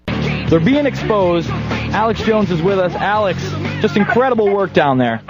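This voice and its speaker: A man's voice: sits high, animated, unhurried, highs cut off, over music. A man hosting political talk radio, commenting in an indignant, sarcastic way. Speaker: radio show host.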